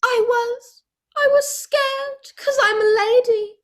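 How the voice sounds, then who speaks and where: Farmer's wife, very high shrill falsetto, melodramatic, sugary, indignant, comic radio play. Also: female voice, fairly even intonation, slow, a bit bright, heavily acted. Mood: timid.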